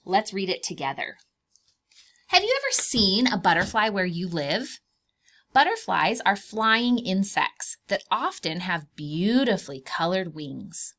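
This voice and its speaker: A woman reads aloud as a preschool teacher to young children, at a medium pitch with a highly varied melody. She goes slowly and draws out key words. Her explanations are simple, and she puts questions to the listeners as she goes. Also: very animated, fairly bright tone.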